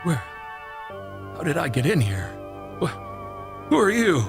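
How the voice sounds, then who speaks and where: A man acting in an old-time radio drama as a shaken, insistent rocket test pilot, speaking urgently and haltingly. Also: high-pitched, huge pitch swings, music in the background, heavily acted. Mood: alarmed, entranced.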